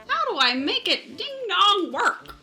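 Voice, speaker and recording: A woman playing a befuddled, excitable elderly woman who dithers and exclaims in a comic radio sketch. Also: very high-pitched, unhurried, soft background music, full character voice. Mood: befuddled, flustered.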